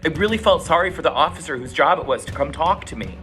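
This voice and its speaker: A man's voice: a little high, expressive, fast, fairly bright tone, faint music behind, pitched above the performer's own voice. A man voicing an indignant, self-righteous suburban driver in a mock-dramatic reading of a forum post, theatrical and aggrieved. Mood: outraged, sarcastic.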